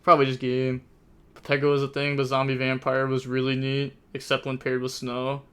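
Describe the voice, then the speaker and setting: Young male streamer, bright crisp voice, chatty casual commentary. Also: medium pitch, monotone, normal speed. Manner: chatty, rambling, thinks aloud with many fillers. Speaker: streamer commentating a card game tournament.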